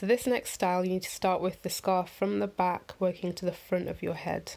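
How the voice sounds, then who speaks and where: Woman vlogger, upbeat and rambling, gravelly morning voice, lowish pitch. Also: flat delivery, brisk, darkish tone, soft background music. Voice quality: croaky morning voice. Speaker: fashion vlogger. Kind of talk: casual tutorial voiceover. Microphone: voiceover mic.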